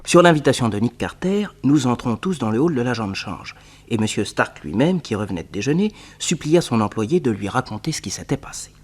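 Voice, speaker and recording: Animated male detective-serial narrator. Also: mid-range voice, a little fast, slightly rough. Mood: explanatory. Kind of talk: dramatic scripted narration.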